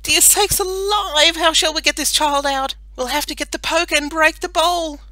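A woman's voice: high voice, fairly even intonation, normal speed, bright, forceful tone, no echo, voice pushed up. A woman voicing an earnest, protective farm mother who frets over her child in a storybook reading. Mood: alarmed. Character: worried, protective farm mother of a naughty boy.

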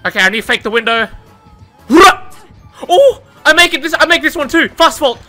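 A young man with a high, very bright voice reacts to his own gameplay from a desk mic at home. He swears freely, shouts at the game and laughs, and sounds a little worn out. Game music plays faintly underneath. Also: animated, fairly quick. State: tired, says his voice is going. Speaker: gaming YouTuber. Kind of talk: live gameplay commentary.

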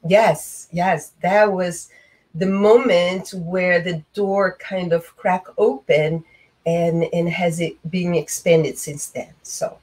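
Slow, low-voiced woman from Brazil. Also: fairly even intonation, darkish tone. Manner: slow, reflective, many fillers. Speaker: guest. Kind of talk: video call conversation. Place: small room indoors. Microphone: webcam on video call.

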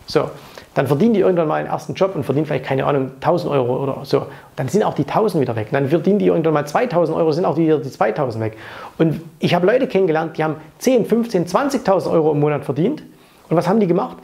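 Man, thirties, gravelly, slightly high voice, blunt opinionated coach, lapel mic. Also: darkish tone. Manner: blunt, opinionated, with frequent fillers and tag questions. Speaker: finance coach and channel host. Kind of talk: casual to-camera advice talk. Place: office with framed pictures, indoors.

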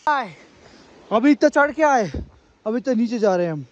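A man's voice: very high-pitched, expressive, moderate pace, muffled recording. A young man in his twenties vlogging a road trip, chatting and joking crudely with friends from a car and a forest trail, filmed on a handheld phone. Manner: joking, crude banter, addresses viewers directly. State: out of breath on steep climb. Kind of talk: casual travel vlog chat with friends. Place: inside a car on mountain roads, then outdoors on a forest trail. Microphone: phone camera held by hand.

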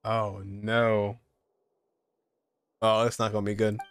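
A man's voice: fairly low pitch, level tone, slow, a little hiss or hum. A young man streaming casual game reactions in short, muttered exclamations. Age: young adult. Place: indoor room.

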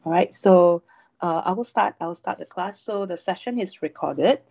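A woman, a university lecturer giving a chatty online class briefing, her voice slightly hoarse after a sore throat, with frequent fillers and "all right" checks. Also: lowish voice, normal speed, dark tone. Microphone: video call webcam. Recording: dull lo-fi online class recording.

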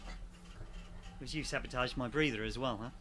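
A man's voice: mid-range voice, normal speed, fairly bright tone, a little hiss or hum, close to an everyday voice. A man in a radio play acting a sardonic, sweary, exasperated geology researcher who is trapped and argumentative. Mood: sarcastic, fed up.

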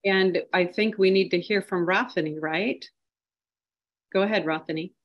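A woman's voice: medium pitch, normal speed, muffled recording. A woman moderating a panel on a video call, prompting speakers with encouraging questions.

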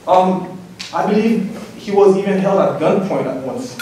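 A young man reads a prepared introduction at a podium, earnest and a little halting. His voice sits slightly high. He is heard from the back of a reverberant hall with faint noise. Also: animated, warm tone. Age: young adult. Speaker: student introducing the speaker. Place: large lecture hall, indoors. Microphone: podium mic, recorded by a far camera. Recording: echoey hall from far off.

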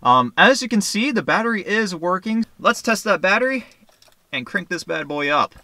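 A man's voice: high-pitched, animated, moderate pace, sharp tone, dry recording. A young man vlogging casually, explaining as he shows things on camera in a parking lot and inside a car. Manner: chatty, explaining as he goes. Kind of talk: casual vlog narration.

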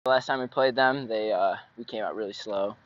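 A young man, a high school lacrosse player, speaking matter-of-factly with some hesitations in a post-game interview outdoors at night. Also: fairly quick, no echo, dull audio. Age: young adult. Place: outdoor sports field at night. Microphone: handheld mic.

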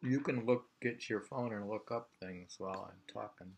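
A man in his sixties with an American Midland accent, chatting casually and reading poetry aloud in a halting, musing way. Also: on the low side. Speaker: poetry reader and host. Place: small home room by a window, indoors. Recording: clearly noisy home webcam recording with clicks.

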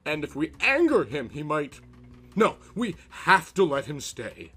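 A man's voice: fairly high pitch, sing-song, unhurried, sharp tone, husky, full character voice. A man voicing an alarmed, protective young newlywed husband in an earnest, melodramatic horror comic dramatization. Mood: resigned.

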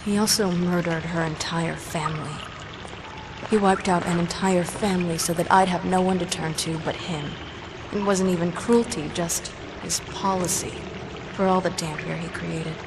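A woman's voice: on the low side, fairly even intonation, moderate pace, gravelly. A woman playing a sassy, defiant young half-vampire fighter in a video game. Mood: grim. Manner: sardonic, cocky. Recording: noisy game ambience behind voice.

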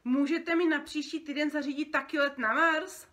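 A woman's voice: sits high, expressive, a bit bright, pitched above the performer's own voice. A woman voicing a cheeky, curious, defiant young boy in a storybook, with an offhand manner. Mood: excited. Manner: offhand, questioning. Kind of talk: storybook character reading.

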